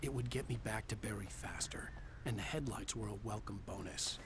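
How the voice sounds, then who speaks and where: An actor playing a brooding, haunted writer who narrates his ordeal gravely in a thriller video game. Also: fairly low pitch, brisk, heavy background noise, natural voice. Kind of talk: scripted first-person thriller narration.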